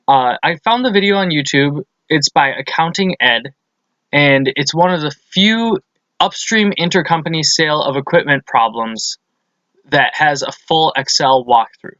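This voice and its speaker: A man's voice: on the high side, measured pace, sharp tone, no room sound, muffled recording. A man presents a lesson as scripted instructional narration, explaining things methodically step by step.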